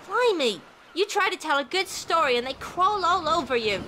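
A woman playing a boastful, excitable young raccoon sailor in a comic dub, brash and slangy. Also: very high-pitched, moderate pace, slight background noise, full character voice. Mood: exasperated, curious. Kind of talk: scripted comic dub acting.

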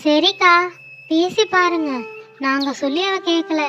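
Woman as worried mom in a kids moral story, plaintive and very high voice, music behind. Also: a little slow, pushed and bright, muffled recording, heavily acted. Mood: worried, pleading. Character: worried young mother of a disobedient daughter. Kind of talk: children's moral story cartoon dialogue. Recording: music under the voice.

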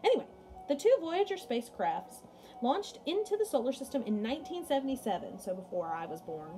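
A woman's voice: fairly high pitch, sing-song, brisk, dark tone. A young woman teaching music in a read-aloud lesson for children, explaining and chatting directly to her pupils. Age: young adult. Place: small indoor room at home.

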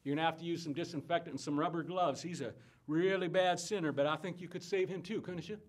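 A crusading conman preacher on stage, delivering a tent-revival sermon in a high-pitched voice. He is bombastic and folksy, confiding in the flock and exhorting them against booze and sin with theatrical fervor. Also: fairly bright tone. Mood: pleading prayer. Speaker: stage actor.

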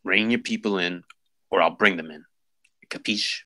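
Man acting a forceful, low-voiced smug police lieutenant. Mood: menacing. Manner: smug, insinuating, deliberate. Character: suave, menacing police lieutenant in his forties. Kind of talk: script table read.

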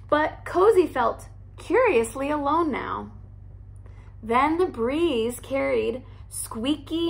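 A young woman, a teacher, reading a children's picture book aloud in an animated, expressive way at home. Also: high-pitched, creaky. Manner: animated, expressive storytelling with character voices. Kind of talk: storybook read-aloud. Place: living room by a fireplace, indoors.

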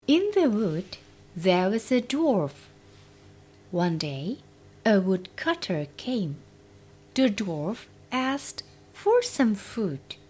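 Slow, animated woman storyteller. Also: medium pitch, breathy edge. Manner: expressive, deliberate. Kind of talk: scripted children's fairytale narration.

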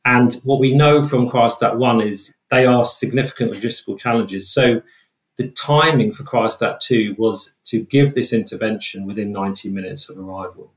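British man, expert guest, lower register, measured explanations, roomy recording. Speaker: trauma researcher, interview guest. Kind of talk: explanatory interview answers. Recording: roomy, echoey sound.

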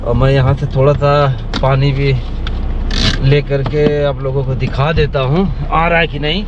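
A man's voice: medium pitch, flat delivery, a little slow. A man vlogging from a moving car, chatting to his viewers as friends while he shows the roads. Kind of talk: casual travel vlog narration. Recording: muffled dashcam audio, loud road noise. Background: loud car and road noise.

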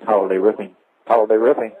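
A middle-aged man who runs a roofing business, trading crude, mocking insults in a slow drawl over a tinny phone line. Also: on the low side, level tone. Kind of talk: comic phone-call banter.

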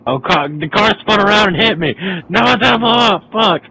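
A young man on headset voice chat, excitable and cursing a lot while playing an online game. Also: sits high, normal speed, vocal fry, slight background noise, rough recording. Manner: excitable, swears often. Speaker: gamer. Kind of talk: casual gaming chat.

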